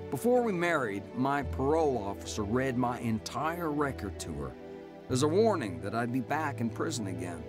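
A man's voice: mid-range voice, natural voice. Man acting reformed ex-convict over music. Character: reformed ex-convict preacher telling his life story. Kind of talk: first-person radio drama narration.

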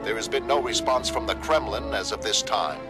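A man's voice: fairly low pitch, normal speed, over loud music, voice pushed up, close to an everyday voice. A man voicing a formal, emphatic 1960s television newsman reading a bulletin in a motion comic. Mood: urgent, formal. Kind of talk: news bulletin.

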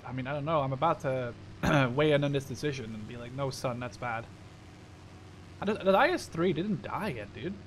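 A young man chatting casually and excitably with a teammate as he plays a game, swearing freely. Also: medium pitch, normal speed, slight background noise, rough recording. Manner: excitable, profane banter. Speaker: gamer playing in a squad. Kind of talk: casual gaming chat.

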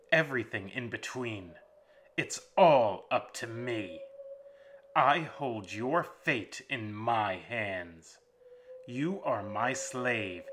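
A man's voice: fairly low pitch, fairly bright tone, a little hiss or hum, heavily acted. A man voicing a cruel, mocking male vampire master who sneers and gloats in a dramatic audiobook reading. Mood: gloating.

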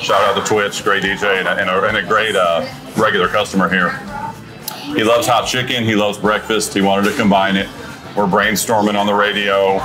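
A man's voice: fairly low pitch, flat delivery, fairly quick. A man, a restaurant owner chatting casually and enthusiastically about his dishes in a dining room on a lapel mic. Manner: easygoing, enthusiastic, fillers like "you know". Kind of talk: casual chat about food. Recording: music playing underneath.

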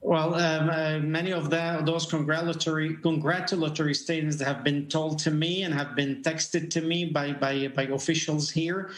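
Middle-aged man from Lebanon, remote guest, fairly high voice, halting delivery, echoey home room. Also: little pitch movement. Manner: halting, many fillers and repeated words. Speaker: rabbi and interview guest. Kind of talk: remote news interview. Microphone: video call. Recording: echoey room on a video call.

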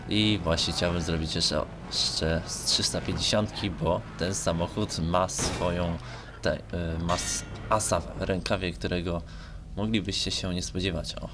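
A man's voice: low-pitched, fairly even intonation, a little slow, music in the background. A young man from Poland talking casually and hesitantly as he plays a game, in a rambling, colloquial way. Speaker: gaming video creator. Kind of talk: casual rambling talk over gameplay. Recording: noisy home microphone recording. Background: noise with car engine sounds from the game.

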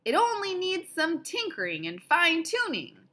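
Woman voicing eager old inventor man, storybook, bright, lively pitch, emphatic, encouraging. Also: moderate pace, exaggerated voice. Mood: excited. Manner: emphatic, exclaiming. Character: excited elderly male inventor, friendly and encouraging. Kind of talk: read-aloud picture book dialogue.

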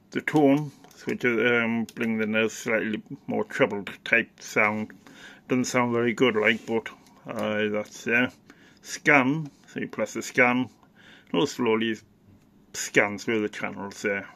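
Male hobbyist demonstrator, unhurried and halting, creaky voice, informal and unscripted. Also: medium pitch, fairly even intonation, fairly bright tone. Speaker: hobbyist presenter. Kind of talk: casual off-the-cuff product demonstration.

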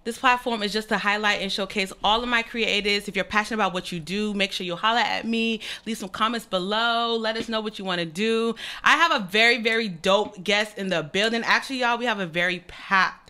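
A young woman hosts a podcast from a small studio mic, talking in a hyped, slangy way and laughing often. Her voice is bright and forward. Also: mid-range voice, brisk, hardly any pauses. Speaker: podcast host. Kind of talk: casual interview chat. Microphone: studio mic on stand.

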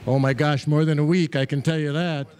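Genial older man, slightly high voice. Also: steady tone, a little fast, a little mellow, clean tone. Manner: genial, easygoing, chuckling. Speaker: accordionist guest. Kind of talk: casual interview answers. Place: crowded fairground barn stage, indoors. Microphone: host's handheld mic.

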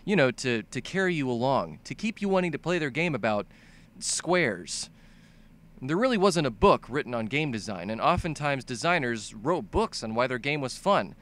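A man's voice: mid-range voice, sing-song, moderate pace, fairly bright tone. A young man narrating a comedic scripted video essay about games in an exaggerated, sweary, ranting manner. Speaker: video game commentator.

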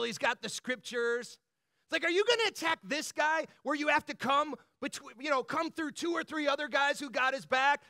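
A man preaching as a pastor in a conversational, motivational style, colloquial and animated, often checking in with the listeners. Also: very high voice, no room sound, studio quality. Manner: animated, colloquial, punctuates points with "right?" and "bro".

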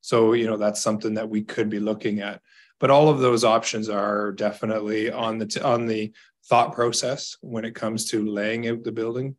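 A Canadian man in his thirties, a staff member reporting to a council over a video call from an office, measured and hesitant with fillers. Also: lowish voice, flat delivery. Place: small office.

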